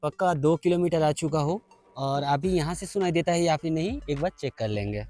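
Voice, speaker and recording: A man with a distinctly high voice hosts a casual outdoor product test. He talks conversationally, as a video host does, with faint music behind him. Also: a little fast, a little mellow.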